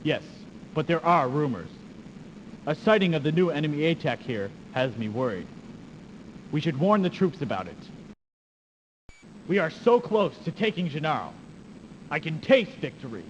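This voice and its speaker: An actor playing a proud, ruthless military commander in a video game, emphatic and theatrical. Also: male voice, fairly high pitch, sing-song, normal speed, pauses often, muffled recording. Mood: scheming confidence. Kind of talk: dramatic video game cutscene acting. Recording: noisy 1990s game audio, long reverb.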